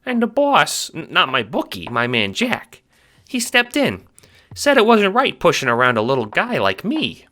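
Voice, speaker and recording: Squeaky little minion, animated pitch, bright tone, airheaded, chipper, excitable, audio drama. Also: moderate pace. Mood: earnest, chatty. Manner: excitable, slangy, boyish. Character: cheerful, airheaded, nervous little male minion creature. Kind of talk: fan audio drama character acting.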